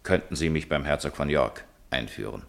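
A man's voice: extremely low pitch, lively intonation, normal speed, creaky, full character voice. An actor playing a humane male writer, eloquent and probing, in a 1950s German radio drama. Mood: determined, earnest. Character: Oliver Goldsmith, humane male writer challenging superstition.

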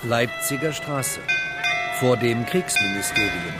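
An actor playing a sober announcer, giving factual, clipped scripted narration in a radio drama. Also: male voice, mid-range voice, expressive, slow pace, loud music behind the voice. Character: sober male documentary-style announcer.

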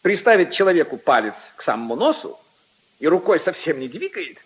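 An actor playing a haughty, indignant aristocratic prosecutor in a pompous, emphatic 1950s radio drama style. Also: male voice, on the high side, big pitch swings, a little fast, slightly rough. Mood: brisk, confident. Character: haughty, indignant aristocratic assistant prosecutor, brother-in-law of a prince. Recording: 1950s archive radio recording.

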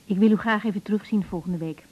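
An actress playing a caring, overworked young doctor in a naturalistic radio drama, talking gently with small hesitations. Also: female voice, mid-range voice, fast, slightly rough, natural voice. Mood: calm, concerned. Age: young adult. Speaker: general practitioner in a radio drama. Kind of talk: naturalistic 1980s Dutch radio drama acting. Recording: slightly dull 1980s radio recording.

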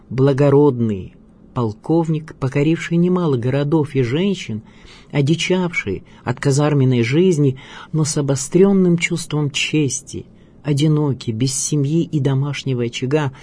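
A man narrating a scripted radio biography in a measured, expressive storytelling manner. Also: mid-range voice, husky. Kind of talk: scripted documentary narration with dramatic readings. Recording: music bed under voice. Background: music.

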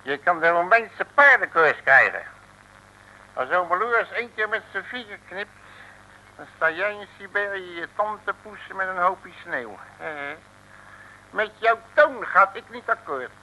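An actor playing a cocky, blustering detective with colourful slang and mock threats in an old Dutch comic radio serial. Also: male voice, high voice, animated, a little slow, pushed and bright, exaggerated voice. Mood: bossy, threatening. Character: cocky, blustering, streetwise male detective, bluffing his way through. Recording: faintly noisy old radio recording.

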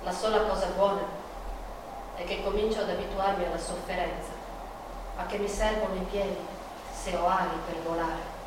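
An actress playing an anguished, defiant woman painter in a theatrical monologue, dramatic and shifting between hushed murmurs and cries. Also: on the low side, fairly even intonation, moderate pace, pauses often, close to an everyday voice. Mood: weary, wistful. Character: Frida Kahlo, anguished, defiant, passionate woman painter in pain. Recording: echoey, distant, noisy stage recording.

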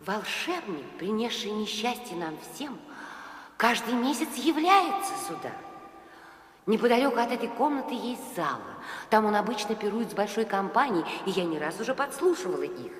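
A woman's voice: medium pitch, big pitch swings, normal speed, lightly acted. An actress playing a sad, courtly enchanted owl princess in an audio fairy-tale drama, telling her tale with solemn theatricality. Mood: earnest, pleading. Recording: echoey reverberant hall, music underneath.

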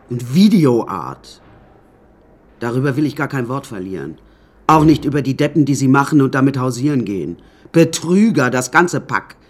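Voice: mid-range voice, a little mellow. An actor playing an imperious, eccentric older woman painter in a radio drama, haughty and theatrical, addressing the listeners directly.

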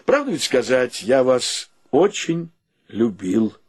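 An actor in a Russian-language radio play, playing a sentimental, proud, poor bachelor who talks in a drawn-out, theatrical way. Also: male voice, medium pitch, very animated, husky, heavily acted. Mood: tender, resigned. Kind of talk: Soviet radio play acting.

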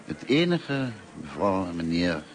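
A man's voice: deep voice, sing-song, a little slow. An actor playing a courteous, lonely old male French teacher in a radio drama. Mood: courteous, hesitant. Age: older. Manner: formal, courteous, hesitant. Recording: noisy old radio play recording.

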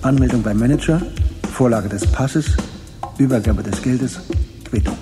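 A man narrating a radio crime drama in a measured, matter-of-fact way as its storyteller. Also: medium pitch, music in the background, natural voice.

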